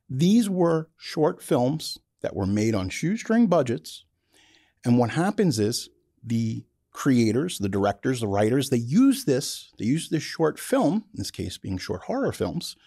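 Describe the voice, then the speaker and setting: Animated, mellow-voiced man talking bluntly. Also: medium pitch, slightly rough, dry recording, studio quality. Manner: emphatic, blunt, colloquial. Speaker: podcast host. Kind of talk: opinionated casual monologue about filmmaking.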